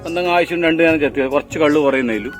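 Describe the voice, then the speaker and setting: A man with a somewhat high voice speaks in a Kannur accent from northern Kerala. He explains his working trade informally, as a tapper showing how it is done. Instrumental music plays clearly behind him. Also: racing. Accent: northern Kerala (Kannur) Malayalam. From Kannur region of Kerala, India. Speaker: toddy tapper. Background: instrumental background music.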